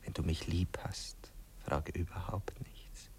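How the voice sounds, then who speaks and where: An actor playing a vain, rapturous poet wooing his lover in an Austrian accent, theatrical and breathy, in a 1960s radio play. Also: sits low, fairly even intonation, unhurried, darkish tone, in a whisper, exaggerated voice. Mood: sentimental, tender. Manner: theatrical, breathy murmurs, swooning declamation.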